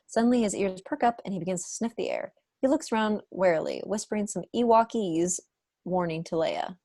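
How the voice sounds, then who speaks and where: A woman's voice: mid-range voice, fairly quick, mellow, no room sound, clean studio sound. A woman narrator reading out stage directions at a scripted table read. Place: indoor room at home, video call.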